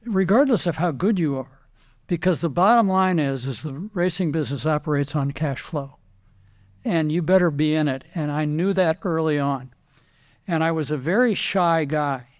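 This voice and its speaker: Older man, retired racer, storyteller, quotes people, somewhat high voice. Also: moderate pace. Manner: animated anecdotes, quoting others, repeats words. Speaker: guest, retired racing driver. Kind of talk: casual storytelling interview.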